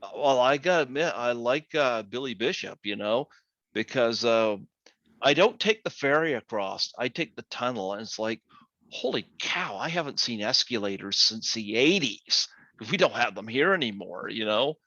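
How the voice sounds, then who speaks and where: Hesitant Canadian host, bright dry sound. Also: male voice, mid-range voice, lively intonation, normal speed, dry recording, highs cut off. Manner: hesitant, many fillers and restarts. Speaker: podcast host. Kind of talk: casual interview chat.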